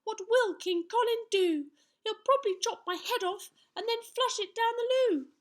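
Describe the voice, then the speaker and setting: A woman voicing a brave, eager six-year-old boy knight in a children's storybook, excitable and declaiming. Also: extremely high pitch, measured pace, pitched above the performer's own voice, full character voice. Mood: panicked.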